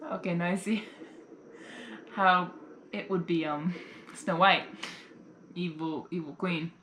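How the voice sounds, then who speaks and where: A woman's voice: on the low side, steady tone, takes it slowly, pauses often, fairly bright tone, echoey room, slight background noise. A woman giving a casual live reaction, thinking aloud and exclaiming as she goes. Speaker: reaction video host.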